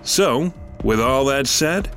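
A man with a mid-pitched voice reads a scripted podcast promo as its host. His intonation swings widely from phrase to phrase, with faint music behind him. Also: expressive, takes it slowly, fairly bright tone.

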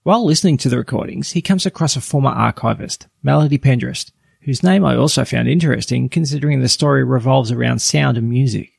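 Gravelly-voiced man hosting a podcast. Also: mid-range voice, no room sound, studio quality. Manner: relaxed, reading from a script. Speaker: podcast host. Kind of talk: scripted podcast review.

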